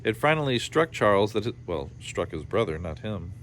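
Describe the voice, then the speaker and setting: A man's voice: fairly low pitch, sing-song, moderate pace, fairly bright tone. A man reading a children's story aloud in a playful style, with informal asides. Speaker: storybook reader. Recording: clear background noise behind the voice.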